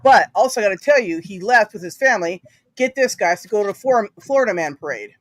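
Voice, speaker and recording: Female host, rapid and scattered, joking, dry mic. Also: mid-range voice, animated, no room sound. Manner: jokey, scattered, self-correcting. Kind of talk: casual livestream panel chat.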